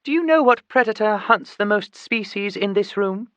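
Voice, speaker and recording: Man voicing a high-pitched, educated lady chimpanzee. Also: expressive, moderate pace, fairly bright tone, no room sound. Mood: indignant lecturing. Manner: articulate, lecturing, earnest. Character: enormous female chimpanzee, educated, preachy animal activist. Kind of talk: audiobook character voice.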